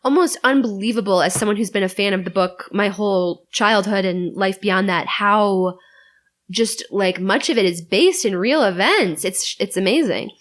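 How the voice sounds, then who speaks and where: A woman's voice: mid-range voice, moderate pace. A woman guesting on a podcast, chatting casually with an enthusiastic, wry manner.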